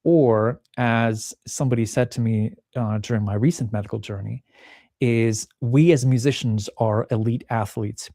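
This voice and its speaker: Mellow-voiced man, reflective and candid. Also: medium pitch, normal speed, no echo, studio quality. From Czech Republic. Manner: thoughtful, many restarts, acts out remembered quotes. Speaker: pianist and podcast guest. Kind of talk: reflective interview talk.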